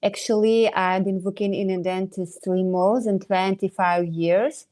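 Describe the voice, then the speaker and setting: Ukrainian woman in her 50s, slow and hesitant, hunts for english words. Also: medium pitch, fairly even intonation, a little mellow. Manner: hesitant, searching for English words, light laughs. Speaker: dentist guest.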